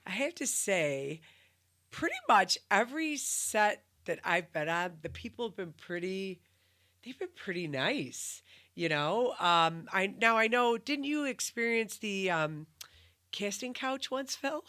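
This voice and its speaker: A woman's voice: mid-range voice, animated, unhurried, pushed and bright. A woman co-hosting a casual chat, agreeable and self-deprecating, who laughs easily and chimes in often. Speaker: podcast co-host.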